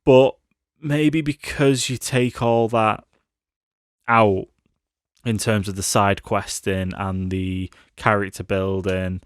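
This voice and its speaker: A man's voice: lowish voice, steady tone, slow, smooth, dry recording, studio quality. A British man co-hosting a podcast, chatting casually and informally about films. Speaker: podcast co-host. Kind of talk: casual film review chat.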